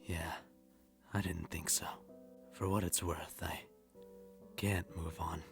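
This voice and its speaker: A man's voice: deep voice, flat delivery, slow pace, creaky, over music, full character voice. An actor playing a bitter, unhinged widowed middle-aged father, sardonic and menacing, in a dramatic comic dub. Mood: brooding, menacing.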